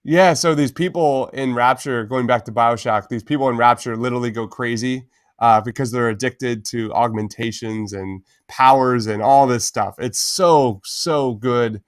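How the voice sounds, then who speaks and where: An enthusiastic man chats casually as a podcast co-host. His voice sits at a middling pitch with very little room sound, and he talks like an excited fan among friends. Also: normal speed, no echo, clean studio sound. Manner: enthusiastic, uses "man" often.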